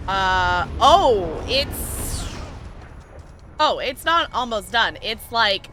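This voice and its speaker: A woman's voice: mid-range voice, sing-song, drawn-out words, sharp tone, slight background noise. A woman giving casual live commentary on a game as she plays, chatting and explaining things conversationally. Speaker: game streamer.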